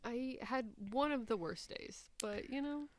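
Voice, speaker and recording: Higher-voiced Midwestern American woman podcaster. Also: a little slow. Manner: self-deprecating, gossipy, candid. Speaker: podcast co-host. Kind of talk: casual chat.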